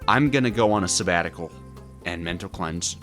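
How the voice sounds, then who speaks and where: A man's voice: low-pitched, a little fast, a bit bright, soft background music. A man narrating a scripted gameplay story with dramatic, comically exasperated delivery. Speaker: gaming video creator.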